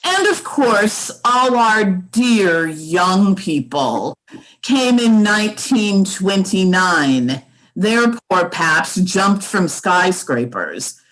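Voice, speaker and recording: A woman's voice: medium pitch, very animated, fairly bright tone, heavily acted, rough recording. A woman playing a snobbish, commanding old matriarch in a radio play, drawing out her words haughtily. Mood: grand, reminiscing. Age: older. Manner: haughty, drawn-out, commanding delivery. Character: imperious, snobbish old grand dame matriarch. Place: remote home recording over video call. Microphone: video call.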